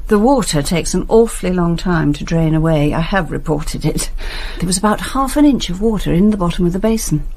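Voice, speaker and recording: A woman's voice: on the low side, lively intonation, fairly quick, hardly any pauses, mellow. Careful, precise British cleaning woman. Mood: thoughtful. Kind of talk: radio play acting.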